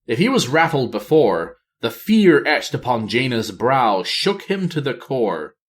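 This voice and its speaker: Male audiobook reader for dramatic fantasy: measured, theatrical, unhurried pacing with wide swings of pitch. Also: big pitch swings. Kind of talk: dramatic fantasy novel narration.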